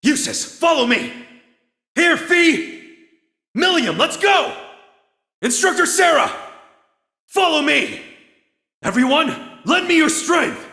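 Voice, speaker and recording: A man voicing an earnest, calm young male warrior in a video game, speaking in a measured, sincere and formal way. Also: very high-pitched, creaky, full character voice. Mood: rallying, fierce. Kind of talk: video game voice acting, battle calls and dialogue.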